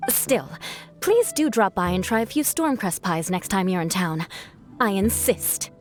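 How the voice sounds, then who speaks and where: A woman's voice: mid-range voice, sing-song, unhurried, a little mellow, faint music behind. An actress playing a wry, self-assured woman baker in a video game cutscene.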